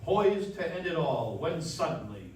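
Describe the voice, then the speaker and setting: A man's voice: on the high side, sing-song, unhurried, slight background noise. A man playing a polished, genial 1940s radio announcer in a live stage radio play, with formal, projected delivery. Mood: solemn, dramatic. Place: live stage performance in a dance hall. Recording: echoey hall, distant mic, live stage.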